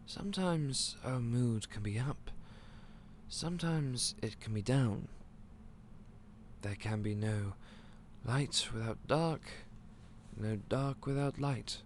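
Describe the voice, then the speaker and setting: An actor playing a gentle, clumsy candy shop owner who stammers and speaks in a polite, old-fashioned way. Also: male voice, mid-range voice, takes it slowly, pauses often, slight background noise, lightly acted. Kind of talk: audio roleplay acting.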